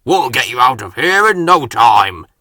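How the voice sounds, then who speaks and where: Man as a hearty, forceful dockside engine. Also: mid-range voice, big pitch swings, unhurried, no room sound, studio quality, heavily acted. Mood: reassuring.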